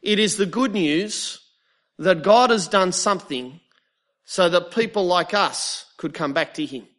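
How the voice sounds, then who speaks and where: A man's voice: high-pitched, a little slow, a bit bright. A man in his thirties with an Australian accent, preaching a sermon with wry self-mocking humour before turning earnest.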